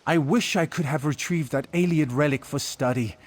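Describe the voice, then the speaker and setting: An actor playing a scholarly relic researcher in a fantasy game, speaking in an articulate, formal way. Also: male voice, fairly high pitch, moderate pace, a little hiss or hum, lightly acted. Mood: regretful.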